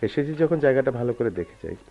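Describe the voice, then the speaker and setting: A man's voice: mid-range voice, sing-song, racing. An actor playing a hurt, reserved young man in a radio play, curt and aloof, with sitar behind on a muffled recording. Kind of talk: restrained radio play acting. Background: sitar music.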